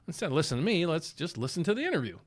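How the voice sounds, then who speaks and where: A man's voice: a little high, very animated, racing. A man with a Southern accent hosting a mortgage channel, talking casually through market charts and repeating himself as he goes. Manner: rambling, repeats words, talks through charts on screen.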